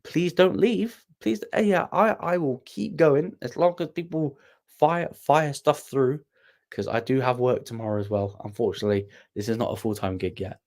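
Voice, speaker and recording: A man's voice: mid-range voice, normal speed, darkish tone. A young man hosting a casual livestream, rambling and self-deprecating as he answers viewers with plenty of ums and restarts. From Wales. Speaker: livestream host.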